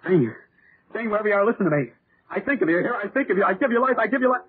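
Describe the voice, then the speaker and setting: An intense, excitable horror writer in a radio play. His voice is high and hoarse-edged, swinging widely in pitch. The sound is thin and narrow, like an old broadcast. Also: racing. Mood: insistent, feverish. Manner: intense, overwrought. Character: excitable male radio horror writer, frantic, obsessed with monsters. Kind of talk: 1930s radio drama acting. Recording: scratchy, phone-like old radio recording.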